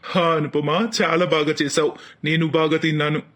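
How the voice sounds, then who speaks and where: Man playing an earnest young husband, higher voice. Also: flat delivery, moderate pace, fairly bright tone, close to an everyday voice. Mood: casual. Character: young working husband and son, earnest, easily worried.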